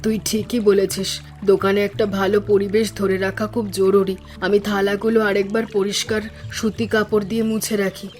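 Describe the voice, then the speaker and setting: A woman voicing a practical, caring village mother in a cartoon story, with a measured manner. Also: medium pitch, flat delivery, darkish tone, faint music behind, lightly acted.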